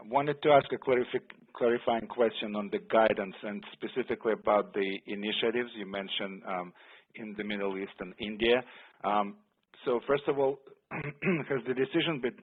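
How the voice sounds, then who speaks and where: A man's voice: mid-range voice, fairly even intonation, moderate pace, husky. A man politely and carefully asking questions as a financial analyst over the telephone. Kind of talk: polite, unscripted business questioning. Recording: tinny telephone line.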